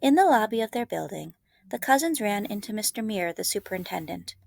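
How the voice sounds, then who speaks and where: A woman, a librarian, reading a children's storybook aloud in an expressive storytelling style. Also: medium pitch, a little fast, vocal fry, no echo, clean studio sound.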